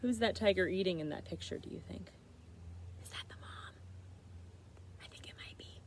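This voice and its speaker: A woman's voice: on the low side, normal speed, in a whisper. A woman chatting casually as a storyteller reading to children, putting questions to her listeners. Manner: conversational, asks listeners questions. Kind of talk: casual interactive read-aloud chat.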